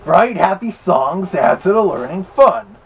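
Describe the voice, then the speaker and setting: A man voicing the Big Bad Wolf as a chatty vlog host with a gravelly, husky voice, casually reviewing. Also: high-pitched, big pitch swings, a little slow, exaggerated voice. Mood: chatty, easygoing.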